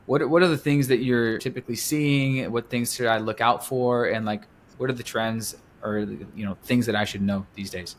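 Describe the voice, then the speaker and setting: Upbeat podcast host. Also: male voice, mid-range voice, steady tone, moderate pace, hardly any pauses, a little hiss or hum. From United States. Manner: upbeat, repetitive listing. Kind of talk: podcast intro and interviewing.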